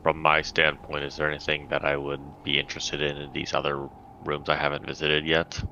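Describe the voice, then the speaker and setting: An extremely deep-voiced man with a gravelly creak talks casually as a player in a tabletop game. His delivery stays fairly even in pitch. The recording is a little dull, with faint noise. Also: brisk. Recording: slightly dull audio, faint background noise.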